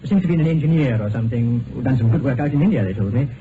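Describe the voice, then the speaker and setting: Actor as hesitant, polite doctor, tinny old radio. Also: male voice, mid-range voice, animated, close to an everyday voice. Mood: polite, awkward. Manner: hesitant, formal, halting. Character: tactful, awkward male doctor, polite and helpful. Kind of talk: vintage radio drama acting. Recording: noisy tinny old radio broadcast recording.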